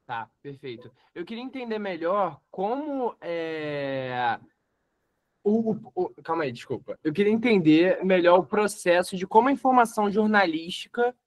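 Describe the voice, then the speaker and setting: A man's voice: high-pitched, expressive, moderate pace. A man joining remotely who stumbles and restarts as he asks his question. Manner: hesitant, stumbling. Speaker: remote participant asking a question.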